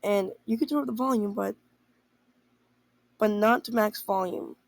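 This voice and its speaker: A young teenager with a creaky voice, making an announcement in an amateur fan drama. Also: mid-range voice, normal speed, no echo. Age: teen.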